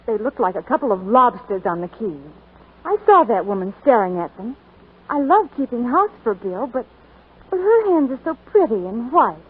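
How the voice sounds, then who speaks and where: A woman's voice: on the high side, sing-song, normal speed, husky, heavily acted. A self-conscious young housewife in a 1940s radio advert. She frets in an embarrassed way, and the old broadcast sound is thin and narrow. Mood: flustered, self-conscious. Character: embarrassed young wife fretting over her dishwater hands. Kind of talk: 1940s radio commercial acting.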